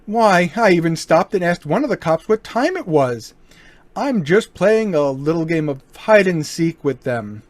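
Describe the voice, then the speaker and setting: An actor in an amateur old-time radio play, voicing a smooth, mocking gentleman burglar with a lively, swinging delivery. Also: fairly high pitch, measured pace, no room sound. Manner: smooth, mocking, turns menacing. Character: suave, cocky male gentleman burglar.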